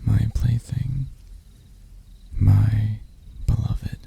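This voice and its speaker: A man voicing a seductive, smug demon in human form, smooth-talking, teasing and husky, in an intimate ASMR roleplay. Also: on the low side, steady tone, very slow, mellow, full character voice. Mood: hungry, possessive. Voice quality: gravelly, husky.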